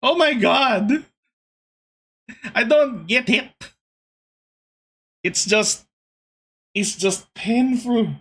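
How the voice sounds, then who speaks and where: A young man hosting a casual gaming podcast, talking to his chat in an animated, exasperated way. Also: very high voice, extremely slow, long pauses, slightly rough. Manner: animated, exasperated ranting with laughs. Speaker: podcast host.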